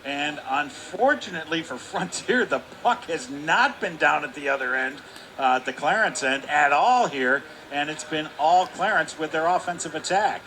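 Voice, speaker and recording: A man giving radio color commentary on a hockey game, explaining the play in a measured, conversational way. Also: a little high, expressive, bright, forceful tone, a little hiss or hum. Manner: measured, explanatory, with fillers like "kinda".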